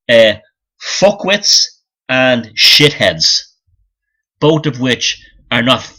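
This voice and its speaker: A man's voice: medium pitch, bright, forceful tone. A man giving an unscripted, opinionated monologue in a blunt, scornful, sweary manner, often repeating phrases for emphasis as he gropes for the next point. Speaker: YouTube commentator and author.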